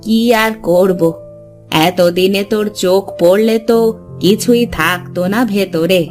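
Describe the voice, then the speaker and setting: A woman voicing a weary, indulgent older mother in a cartoon, gently scolding her son. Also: mid-range voice, fairly even intonation, measured pace, faint music behind, close to an everyday voice. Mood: placating.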